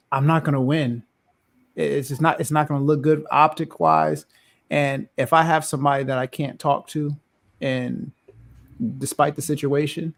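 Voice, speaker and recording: A man with a deep, loud, gravelly voice that has a lot of fry in it. He co-hosts a casual panel podcast, talking in a measured, halting way and pausing on fillers. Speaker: podcast co-host.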